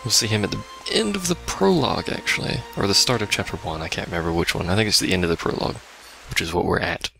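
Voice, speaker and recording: A man's voice: deep voice, quick pace, gravelly, pushed and bright. A man giving casual, sarcastic commentary as he plays a video game. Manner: sarcastic, wry. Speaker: let's play host. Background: game music.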